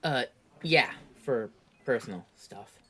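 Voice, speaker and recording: An actor playing a hesitant but determined young male superhero, speaking haltingly. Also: mid-range voice, animated, sharp tone, slightly rough, full character voice. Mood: hesitant, uneasy.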